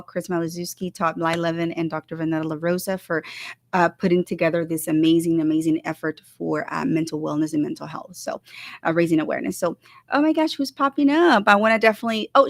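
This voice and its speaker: Bubbly low-voiced woman hosting a livestream. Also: fairly even intonation, brisk, vocal fry, no room sound. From El Salvador. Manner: bubbly and effusive, repeats words for emphasis. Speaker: livestream show host. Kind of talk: casual livestream chat with viewer shout-outs.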